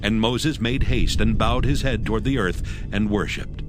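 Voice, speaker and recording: A man narrating scripture from a script in a measured, formal manner. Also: lowish voice. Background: music.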